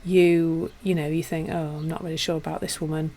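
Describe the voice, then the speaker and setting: A woman with a Yorkshire accent teaching and chatting casually with viewers on a live stream, self-deprecating and warm in her asides. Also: low-pitched, little pitch movement, a little fast. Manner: chatty and self-deprecating, with frequent restarts and laughs. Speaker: meditation teacher.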